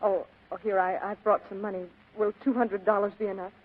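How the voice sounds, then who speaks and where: A woman's voice: mid-range voice, little pitch movement, a little fast, close to an everyday voice. An actress playing an anxious, pleading young female client in a 1940s radio drama style, hesitant and earnest. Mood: earnest, worried. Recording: tinny band-limited vintage radio broadcast.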